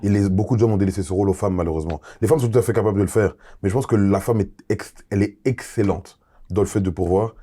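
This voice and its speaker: Very deep, dark-voiced man. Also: fast, husky. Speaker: podcast panelist. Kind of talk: casual panel discussion.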